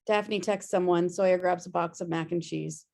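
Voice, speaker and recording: A woman's voice: lowish voice, steady tone, moderate pace, gravelly, darkish tone. A woman reading scripted stage directions as narrator of a table read over a video call.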